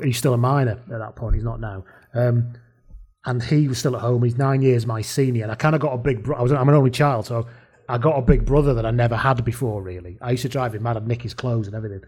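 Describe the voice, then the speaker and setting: A man's voice: mid-range voice, a little mellow, slightly rough. A man in his forties with a Lancashire accent, hosting a casual chat and telling a personal story with tangents and self-deprecating asides. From Lancashire, England. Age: 40s. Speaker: podcast host.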